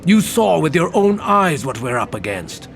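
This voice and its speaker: A man playing a gruff, blunt, foul-mouthed senior police detective with a gravelly voice in an audio drama. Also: on the high side, sing-song, normal speed, a bit bright, faint music behind, heavily acted. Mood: intense, persuading. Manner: blunt, persuasive, intense.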